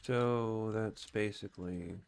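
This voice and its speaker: A man's voice: steady tone, takes it slowly, creaky, clear, resonant tone. A man giving casual live commentary as he streams a game, now and then speaking short commands. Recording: noisy, engine rumble behind.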